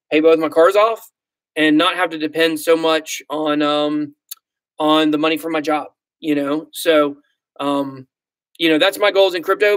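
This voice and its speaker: A man's voice: a little high, a bit bright, no room sound. A man in his thirties with a Southern US accent, chatting casually on a livestream as host, rambling and self-deprecating, with lots of ums and likes. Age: 30s. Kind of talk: casual livestream chat reading comments.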